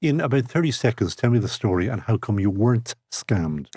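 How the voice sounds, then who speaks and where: A man's voice: on the low side, animated, a little fast, a little mellow, husky, no room sound, studio quality. A middle-aged Irish man hosting a podcast interview, casual, wry and joking. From Ireland. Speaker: podcast host.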